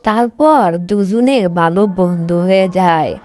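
A woman's voice: medium pitch, expressive, measured pace, a little mellow. A woman narrating a scripted cartoon tale as a storyteller.

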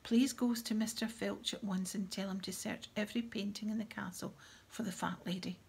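A woman voicing a grave, calm old male wizard headmaster who speaks firmly and quietly. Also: medium pitch, normal speed, vocal fry, breathy edge. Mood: urgent.

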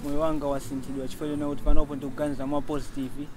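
Outdoor noise is clear behind a young man from Malawi. He talks very fast and evenly in an interview. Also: fairly high pitch, flat delivery. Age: 20s. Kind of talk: documentary interview testimony. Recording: noisy outdoor ambience with traffic.

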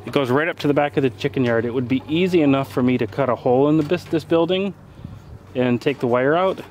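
A man in his forties talks his way through a casual homestead tour, plain-spoken and unhurried, with ums as he thinks. His voice sits in a middle pitch. It is recorded on a handheld camera outdoors and in sheds, with clear background noise on the audio. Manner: relaxed, plain-spoken, thinks aloud with fillers. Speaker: homesteader giving a property tour. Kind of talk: casual walking tour vlog. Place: outdoors and in farm buildings. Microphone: handheld camera mic, filming himself.

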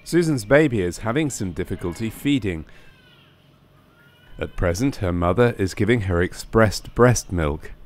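A man reading scripted narration for a medical training film in a measured, matter-of-fact way. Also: fairly low pitch, lively intonation.

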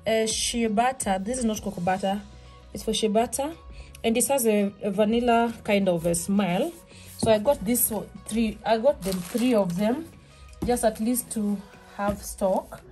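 A woman talks through her grocery haul in a small home kitchen. Her voice sits a little high, and the talk is relaxed and chatty, full of fillers and restarts. Music plays clearly behind her. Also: unhurried. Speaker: vlogger. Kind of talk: casual shop-with-me haul chat. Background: background music.

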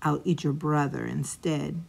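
A woman voicing a male goat-eating monster who drawls his threats slowly and loudly. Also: low-pitched, steady tone, gravelly, pitched below the performer's own voice, heavily acted. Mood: menacing. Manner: slow, drawn-out, loud and menacing.

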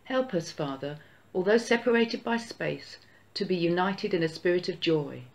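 A church prayer leader, slowly reading a solemn Easter prayer. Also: medium pitch, animated. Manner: slow, measured, solemn reading. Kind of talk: read-aloud Easter prayer.

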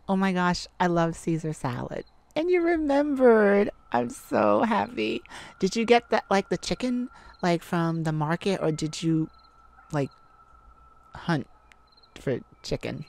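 Woman acting slow-paced, excitable, affectionate mage sweetheart. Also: lowish voice, vocal fry, full character voice. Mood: delighted. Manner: gushing, giggly, rambling, flustered. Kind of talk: romantic ASMR roleplay audio drama.

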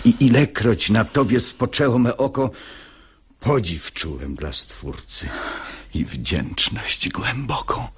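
An actor playing a sanctimonious, unctuous hypocrite who woos with lust, in a husky, breathy voice, in a verse radio drama. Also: male voice, medium pitch, lively intonation, full character voice. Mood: ardent wooing. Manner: unctuous, slow declamation. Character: sanctimonious hypocrite man, unctuous, lustfully wooing a married woman.

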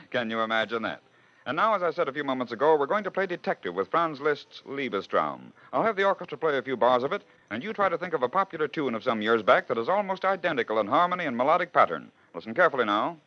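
A man's voice: mid-range voice, very animated, quick pace. A man hosting a 1940s radio program in scripted announcer style. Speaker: radio host. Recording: muffled 1940s radio recording.

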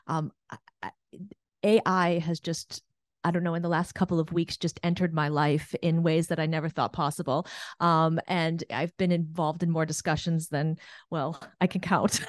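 A woman speaking on a conference panel in a relaxed, conversational way. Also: fairly low pitch, no room sound, clean studio sound.

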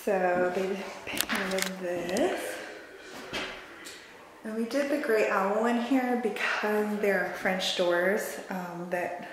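A woman's voice: medium pitch, extremely slow. A woman in her thirties chatting in an upbeat, casual way as she narrates a home renovation tour. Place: empty house rooms under renovation, indoors. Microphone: handheld camera held by speaker. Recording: echoey empty rooms, clear background noise.

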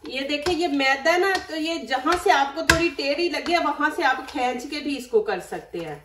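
Pakistani-accented lady showing a recipe, highish voice, kind of off-mic and roomy. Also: animated, moderate pace, distant mic, background noise. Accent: Pakistani Urdu. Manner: chatty, instructive, frequent religious phrases. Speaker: cooking channel host. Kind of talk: casual cooking demonstration. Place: home kitchen.

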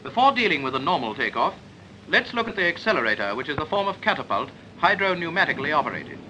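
A man's voice: fairly high pitch, sing-song, quick pace, bright, forceful tone, highs cut off. A British man reading a scripted 1940s instructional film narration in a clipped, explanatory manner. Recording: noisy 1940s film soundtrack.

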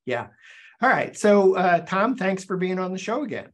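An older man hosting a video call interview, talking in halting stops and starts with many fillers. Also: high voice, big pitch swings. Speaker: show host and forum founder. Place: indoors, virtual backdrop. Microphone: webcam on video call.

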